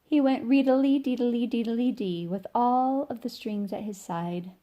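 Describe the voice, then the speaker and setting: A woman reading a rhyming children's picture book aloud in an expressive, sing-song way. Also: on the high side, normal speed, dull audio. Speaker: storybook reader.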